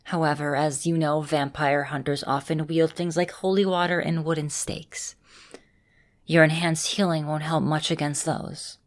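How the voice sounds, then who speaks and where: Woman acting as vampire mistress, female, lowish voice, caring mentor, composed, formal phrasing, ASMR roleplay. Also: steady tone, moderate pace, natural voice. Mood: calm, instructive. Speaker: voice actor in a roleplay audio. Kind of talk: ASMR roleplay, speaking to the listener.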